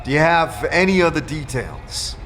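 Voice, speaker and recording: A man's voice: on the high side, expressive, normal speed, fairly bright tone, slight background noise, pitched above the performer's own voice. A man voicing a bewildered outsider reluctantly investigating, questioning hesitantly, in a fantasy audio drama. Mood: uncertain.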